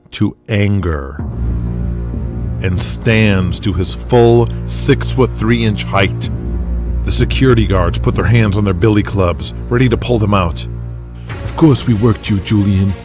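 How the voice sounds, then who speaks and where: A man's voice: lowish voice, slow, music in the background. A man delivering dramatic scripted narration as the narrator of an audio drama.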